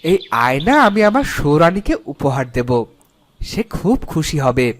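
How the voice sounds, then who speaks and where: A man's voice: fairly high pitch, big pitch swings, a little slow. A man voicing an upright, authoritative king in a fairy-tale cartoon, formal and commanding. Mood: stately.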